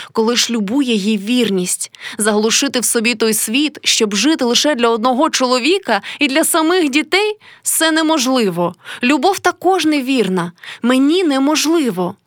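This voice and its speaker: Woman voicing a proud, passionate young artist, high-pitched. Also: expressive, normal speed, hardly any pauses, no room sound, studio quality, lightly acted. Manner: intense, declamatory, dramatic.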